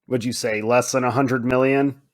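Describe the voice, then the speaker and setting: A man's voice: mid-range voice, fairly even intonation, moderate pace. A man co-hosting a podcast in casual chat, informal and exclamatory, often putting himself down. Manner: self-deprecating, informal, exclamatory. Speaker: podcast co-host.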